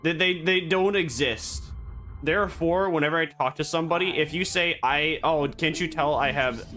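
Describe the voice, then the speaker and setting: A man streaming a game, rambling and joking in absurd deadpan banter. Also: a little high, normal speed, soft background music. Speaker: VTuber streamer. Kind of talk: casual gaming stream chatter.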